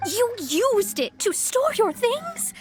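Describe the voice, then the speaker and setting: A woman's voice: very high-pitched, big pitch swings, slow pace, soft background music, full character voice. A woman voicing a haughty, formal young female astrologer in a video game, with theatrical diction and a proud, easily exasperated temper. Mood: shocked, indignant. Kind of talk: video game character acting.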